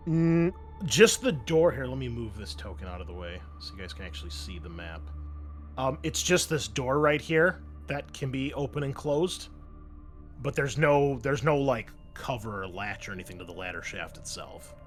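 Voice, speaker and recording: A man running a tabletop game as game master, improvising scene descriptions in a halting, conversational way. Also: mid-range voice, very animated, faint music behind.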